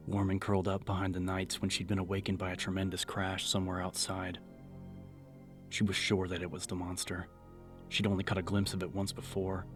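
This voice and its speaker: A man narrating a scripted horror audiobook in a measured, serious storytelling manner. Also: low-pitched. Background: music.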